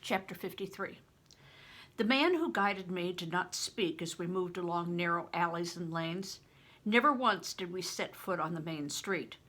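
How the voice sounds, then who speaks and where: An older woman with a breathy voice, reading a novel aloud and giving the characters voices of their own. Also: fairly low pitch, unhurried, a bit bright. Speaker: reader.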